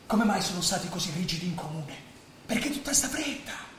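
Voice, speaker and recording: A suspicious, questioning comrade in a stage drama. His voice is high and swings widely as he presses his point in a very echoey hall. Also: sing-song, a little fast, fairly bright tone. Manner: questioning, insistent. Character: suspicious, questioning male comrade facing eviction. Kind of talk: stage play acting in Italian. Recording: echoey hall, faint noise.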